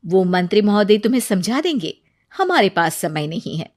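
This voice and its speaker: A woman voicing a composed, gracious queen with a regal, measured manner in a storybook tale. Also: mid-range voice, very animated, darkish tone, dry recording, clean studio sound, natural voice. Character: composed, gracious queen testing young petitioners.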